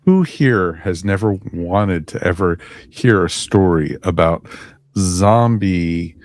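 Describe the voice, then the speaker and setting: Deep-voiced jokey man, dry mic. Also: slow pace, a little mellow, no echo. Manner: enthusiastic, jokey. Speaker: podcast co-host. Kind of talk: casual review chat.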